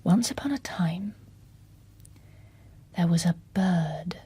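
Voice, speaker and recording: A weary mother in a radio drama, tenderly soothing her child. Her voice is very breathy and husky, dark and soft, held close and intimate. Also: lowish voice, expressive, slow pace, full character voice. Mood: hushed, soothing.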